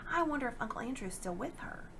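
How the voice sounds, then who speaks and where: A woman's voice: a little high, animated, fast talker, vocal fry, a bit bright, slight background noise, voice pushed up. A woman voicing an anxious, earnest young boy thinking things through in a storybook reading. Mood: anxious musing. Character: young boy, worried and earnest schoolchild hero.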